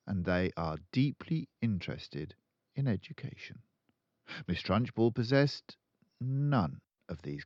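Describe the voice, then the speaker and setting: A man's voice: fairly low pitch, darkish tone, dry recording, muffled recording. An older man reading a children's book aloud expressively as a storyteller.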